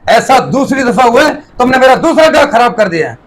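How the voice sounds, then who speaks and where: Very high-pitched stern tailor master , male actor. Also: big pitch swings, fairly quick, heavily acted. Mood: scornful anger. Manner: brusque with underlings. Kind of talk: moral story drama acting.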